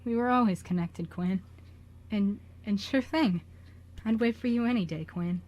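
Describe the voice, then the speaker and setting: A woman playing a gentle, serene male ghost in an amateur radio drama, speaking sincerely and haltingly. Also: mid-range voice, lightly acted. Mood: loving farewell.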